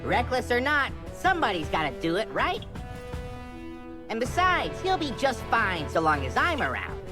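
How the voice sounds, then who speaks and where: A woman's voice: on the high side, huge pitch swings, normal speed. A woman playing a plucky, cocky young-hearted adventurer in a video game, with a folksy, breezy, slangy way of talking. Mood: cocky, reassuring. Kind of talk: animated video game hero acting. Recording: music behind the voice.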